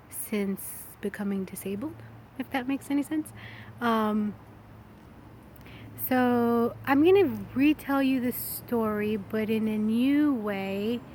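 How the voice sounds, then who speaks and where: A woman's voice: on the high side, a little mellow, a little hiss or hum. A woman in her thirties talking candidly and casually, rambling a little as she thinks aloud in a personal, reflective vlog. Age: 30s. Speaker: vlogger. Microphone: phone.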